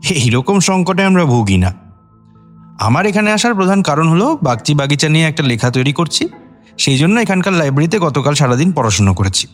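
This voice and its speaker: An actor playing a young intellectual poet in a radio drama, articulate and self-possessed, mixing in English words. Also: male voice, a little high.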